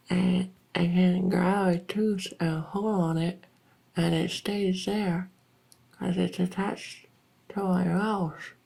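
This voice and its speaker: A woman playing an awkward, lonely female vampire in an ASMR roleplay, speaking in a halting, earnest, rambling way to the listener. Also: fairly low pitch, gravelly, warm tone, slightly rough, full character voice, rough recording. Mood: earnest, insistent.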